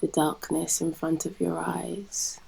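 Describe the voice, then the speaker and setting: A British woman guides a meditation in a low, dark voice with a very creaky edge. Her delivery is soft and soothing, leaving long pauses between short phrases. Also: little pitch movement, low-quality recording. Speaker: yoga nidra guide and host.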